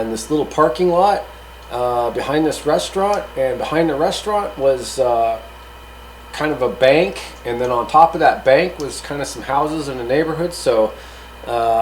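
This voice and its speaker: A man's voice: mid-range voice, slight background noise, poor audio. A man hosting a hobby gear review, giving a casual, unscripted rundown in a rambling, matter-of-fact way. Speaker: hobbyist video host reviewing radio gear.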